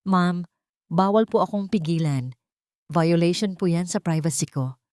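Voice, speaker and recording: A woman's voice: fairly low pitch, a little slow, no echo, close to an everyday voice. Female narrator as secretive infiltrator, bold but controlled, Filipino accent, audiobook dialogue, dry recording. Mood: firm, urgent. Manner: controlled, pretending calm. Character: bold, secretive infiltrator named Ron, keeping calm. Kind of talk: dramatic audiobook character dialogue.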